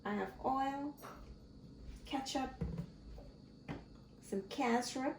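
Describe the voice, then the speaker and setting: A woman hosting a cooking channel, explaining each step in a slow, friendly way from her home kitchen. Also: high-pitched, a little hiss or hum. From Guyana. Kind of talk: casual cooking tutorial. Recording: echoey kitchen with clattering pots.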